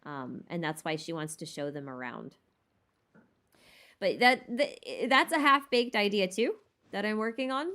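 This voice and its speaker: A woman's voice: medium pitch, lively intonation, a little slow, sharp tone. A woman streamer chatting casually and thinking aloud in a tentative, rambling way as she answers questions. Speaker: streamer hosting a lore Q&A. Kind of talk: casual rambling livestream chat about game lore.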